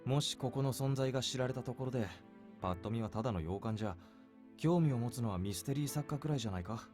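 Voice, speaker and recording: Man acting a rapid-talking, dry young soldier. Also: mid-range voice, a little mellow, lightly acted. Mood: dismissive. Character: bored, skeptical young male soldier, dry and blunt. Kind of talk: visual novel anime-style voice acting. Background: game background music.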